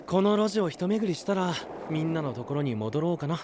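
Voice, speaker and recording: A man's voice: on the high side, lively intonation, a little fast, heavy background noise, lightly acted. A man playing a free-spirited young skateboarder in an anime voice drama, easygoing and casual. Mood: relaxed. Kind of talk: Japanese anime voice drama acting. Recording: music behind the voice.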